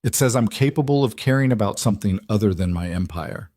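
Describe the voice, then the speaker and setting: A man narrating plays a brooding, controlled mafia boss in a romance audiobook. He speaks low, in grave, measured declarations, on a dry recording. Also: expressive, warm tone, dry recording. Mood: intense, possessive. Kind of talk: dramatised audiobook dialogue.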